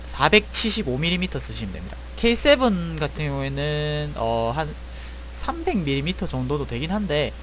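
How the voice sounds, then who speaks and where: A man's voice: fairly high pitch, big pitch swings, normal speed, few pauses, fairly bright tone, slight background noise. A young man casually explaining a repair job, thinking aloud and correcting himself as he goes. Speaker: airsoft gun technician. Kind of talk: casual spoken tutorial.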